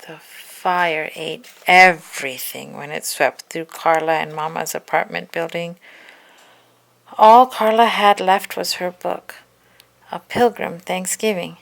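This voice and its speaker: A woman narrating a children's picture book aloud in an expressive, scripted storytelling style. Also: lowish voice, takes it slowly, gravelly.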